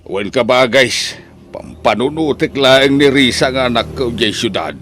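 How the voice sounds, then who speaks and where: A man's voice: medium pitch, a little slow, fairly bright tone, natural voice. A man with a Filipino accent playing a proud, hardworking, doting farmer father in an audio drama. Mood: hopeful, proud. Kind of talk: Ilocano audio drama acting. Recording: faint background noise.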